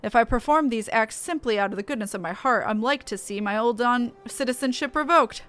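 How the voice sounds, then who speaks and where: A woman's voice: on the high side, fairly quick, fairly bright tone, lightly acted. A woman voicing a genteel male merchant chairman from a fantasy game, courteous and idealistic, in formal, grandiose speech. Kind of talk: reading game dialogue aloud.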